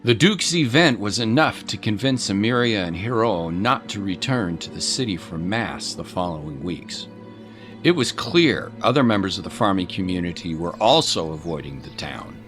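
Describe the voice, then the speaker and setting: A man narrating a dramatic story from a script in a solemn, deliberate manner. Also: low-pitched, animated, fairly bright tone. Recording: music behind the voice. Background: music.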